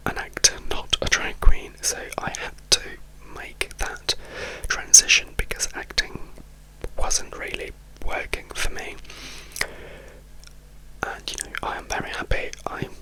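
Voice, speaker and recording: Man, ASMR whisper, unhurried, bright airy whisper, halting restarts. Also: poor audio. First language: Italian. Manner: soft, slow, intimate whisper with frequent restarts. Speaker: ASMR YouTuber. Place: indoors, home room.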